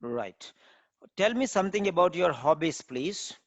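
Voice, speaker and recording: A man, an IELTS trainer, playing a formal examiner in a mock speaking test and asking measured questions. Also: fairly high pitch, animated, dry recording. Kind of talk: mock exam interview.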